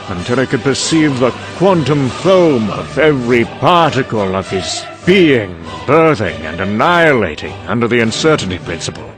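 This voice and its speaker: An actor playing a cynical, boozy British scientist delivering a sardonic, erudite monologue in an audio comic. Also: male voice, medium pitch. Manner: sardonic, erudite, with sudden bursts of temper.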